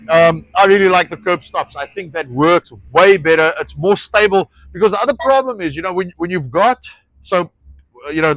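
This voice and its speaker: A man with a South African Afrikaans accent and a fairly high-pitched voice talks outdoors about his ranch work. He often stalls on words, filling gaps with "uh" and "you know" and restarting his sentences, and he swears offhandedly. The sound is dry and close. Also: a bit bright, no room sound, rough recording. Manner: searches for words, frequent fillers and restarts, swears casually. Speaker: ranch owner and show host. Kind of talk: casual outdoor project talk.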